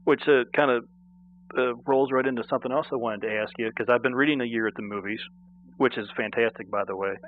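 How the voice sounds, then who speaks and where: A man's voice: medium pitch, fairly even intonation, fast, no echo. Male interviewer, casual and agreeable, narrow phone-line audio. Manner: chatty, agreeable. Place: phone call.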